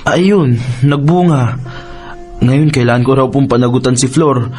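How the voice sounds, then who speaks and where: A man's voice: medium pitch, a little slow, a little mellow, natural voice. A man acts out a sheepish young Filipino guy in a radio drama, music underneath. He sounds troubled, hesitant and deferential. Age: young adult. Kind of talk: Tagalog radio drama acting.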